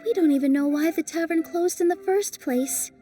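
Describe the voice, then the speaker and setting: A woman voicing a graceful young dancer in a video game, soft, thoughtful and playful. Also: very high-pitched, normal speed, recorded from a distance. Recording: voice set back from mic, faint game music.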